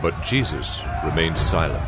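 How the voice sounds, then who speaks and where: A man with a very deep voice narrates scripture in a solemn, scripted manner. Loud dramatic music plays underneath him. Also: animated, moderate pace, over a noisy crowd.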